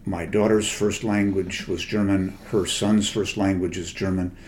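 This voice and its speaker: An older man with a somewhat low voice, speaking as a literary translator in a relaxed lecture. He talks in a thoughtful, wandering way, often pausing and correcting himself as he goes. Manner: thoughtful, digressive, many hesitations and self-corrections. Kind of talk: conversational lecture.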